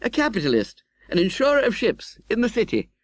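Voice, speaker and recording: A man playing a genteel, candid, cheerfully optimistic young gentleman in an audiobook, chatty and slightly hesitant. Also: high-pitched, brisk, pitched above the performer's own voice. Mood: breezy, hopeful. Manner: animated, chatty, hesitating with little 'uh's. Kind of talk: audiobook character acting.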